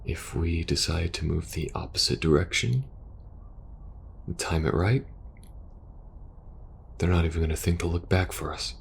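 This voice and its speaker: Man acting a low-voiced, war-weary soldier. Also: normal speed, gravelly, pauses often, a little hiss or hum. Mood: urgent, persuasive. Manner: hesitant, earnest, hushed at times. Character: war-weary young male soldier pleading with best friend, protective, earnest. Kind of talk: intimate ASMR audio roleplay.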